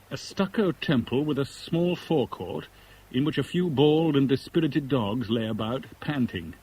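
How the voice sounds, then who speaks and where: A man's voice: medium pitch, a little mellow, slightly rough. An actor playing a bitter, lovelorn man in a 1970s radio drama, sardonic and clipped. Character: bitter, lovelorn man caught in a love rivalry. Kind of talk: 1970s British radio drama acting with narration. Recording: noisy old radio broadcast recording.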